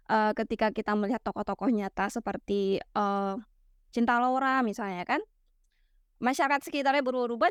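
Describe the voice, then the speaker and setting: A woman's voice: medium pitch, clean tone, dry recording. Young woman, from West Sumatra, teacherly casual talk, filler-heavy, dry close recording. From West Sumatra, Minangkabau. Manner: teacherly, many fillers and tag questions. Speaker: guest explaining literary theory. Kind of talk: relaxed explanatory chat.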